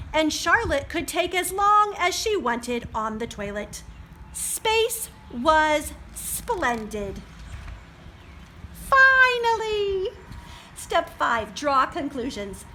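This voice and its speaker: A woman reading aloud as a children's librarian at story hour, expressive and speaking straight to young listeners with dramatic pauses. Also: high-pitched, a little hiss or hum.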